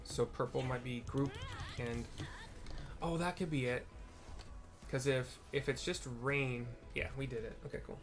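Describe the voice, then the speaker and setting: A man's voice: medium pitch, fairly even intonation, a little slow, music in the background. A man giving casual, unscripted gameplay commentary, rambling and thinking aloud as he explains what to do.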